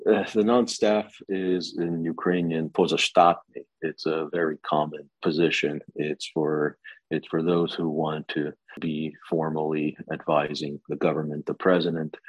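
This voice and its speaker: An American man answering interview questions about his career, speaking in a measured way with frequent fillers and restarts. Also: a bass voice, husky, no echo, low-quality recording. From United States. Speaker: guest, lawyer.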